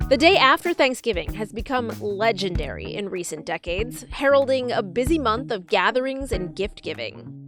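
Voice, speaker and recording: A woman hosts a podcast with scripted narration, linking segments in a fairly high voice. Music plays clearly behind her. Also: sing-song, normal speed, fairly bright tone. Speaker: podcast host.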